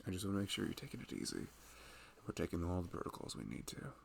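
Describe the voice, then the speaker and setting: A man plays a loving, protective husband in an intimate ASMR roleplay. His low voice has plenty of gravelly fry, and it moves expressively as he rambles softly and conversationally to his pregnant wife. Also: sing-song, fast, full character voice. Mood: tender, concerned. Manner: soft-spoken, rambling, conversational. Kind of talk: intimate ASMR roleplay, casual improvised chat.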